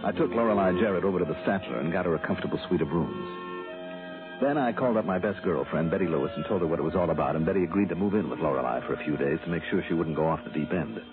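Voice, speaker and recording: An actor playing a wry, hard-boiled private investigator in a 1960s radio drama, speaking in a measured, colloquial way. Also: male voice, low-pitched, lively intonation. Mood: brooding, matter-of-fact. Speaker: lead detective and narrator of a radio drama. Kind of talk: 1960s radio detective narration and dialogue. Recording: muffled vintage radio recording with music.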